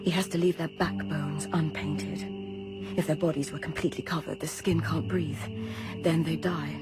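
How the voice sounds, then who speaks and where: A woman's voice: low-pitched, steady tone, normal speed, close to an everyday voice. An actress playing a fierce, bitter young woman seeking revenge in a radio drama. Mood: bitter grief. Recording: radio play with loud music underneath.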